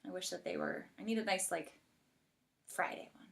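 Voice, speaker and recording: A woman's voice: on the low side, wildly swooping pitch, normal speed, gravelly, fairly bright tone. An American woman hosting a casual podcast interview, talking informally and conversationally with plenty of "like" thrown in. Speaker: podcast host.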